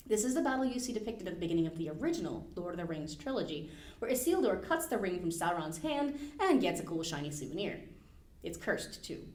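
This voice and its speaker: A woman's voice: on the low side, darkish tone. A woman hosts a library podcast and reads a scripted overview with plenty of rise and fall in her voice. She drops in casual, wry asides, and the room around her has a noticeable echo. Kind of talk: scripted narration of lore. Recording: roomy echo.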